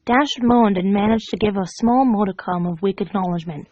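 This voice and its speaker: A woman's voice: mid-range voice, a little fast, darkish tone, dry recording, muffled recording. A woman reading a story aloud as scripted narration, as a fan fiction reader.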